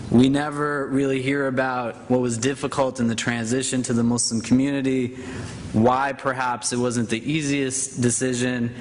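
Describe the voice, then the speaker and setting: A man's voice: medium pitch, slight background noise. A man moderating a conference panel on stage, speaking casually with a self-deprecating humor and plenty of fillers.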